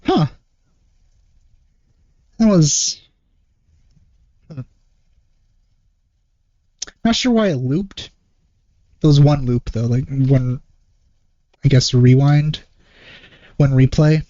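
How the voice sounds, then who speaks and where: Muffled, mellow man thinking out loud. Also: medium pitch, drawn-out words, long pauses, dry recording. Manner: hesitant, rambling, thinking out loud. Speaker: reaction video host. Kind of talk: casual reaction commentary. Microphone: wrong microphone, close and dry. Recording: dull, muffled lo-fi microphone.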